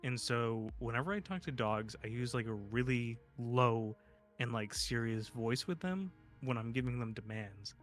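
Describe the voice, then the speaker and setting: A man's voice: medium pitch, expressive, moderate pace. A man chatting casually as a guest, rambling with many fillers. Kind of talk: casual chat. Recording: music playing behind.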